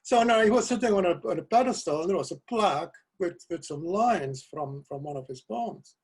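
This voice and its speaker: An older man, a Dutch native speaker from South America, talking as a guest poet over a video call from a cluttered home office, soft-spoken and hesitant with restarts. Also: high-pitched, expressive, a little fast, husky. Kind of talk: casual video call interview. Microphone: video call webcam.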